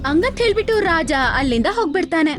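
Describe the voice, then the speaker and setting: High-pitched woman storyteller over music. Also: big pitch swings, fast talker. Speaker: narrator. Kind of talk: scripted children's story narration.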